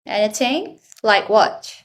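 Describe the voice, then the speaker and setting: A woman from the Philippines leads an online English class over a video call. She speaks slowly and patiently, prompting with simple questions. The pitch sits in a middle range for a woman. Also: expressive. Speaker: English teacher. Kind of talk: online class teaching children.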